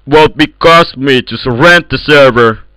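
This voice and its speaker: A man's voice: mid-range voice, a little slow, bright, forceful tone, clear, resonant tone, no echo, low-quality recording. A man with an Eastern European accent trolling other players in game voice chat, boastful and in broken English, over a headset. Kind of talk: prank call banter in game voice chat.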